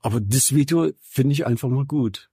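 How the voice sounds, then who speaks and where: A man's voice: mid-range voice, normal speed, mellow, slightly rough, no echo, studio quality. A man in his seventies from Saxony, chatting casually as an interview guest in a colloquial, wry, plain-spoken way. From Saxony, Germany. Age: 70s. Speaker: interview guest, veteran radio journalist and musician. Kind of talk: casual conversational anecdotes.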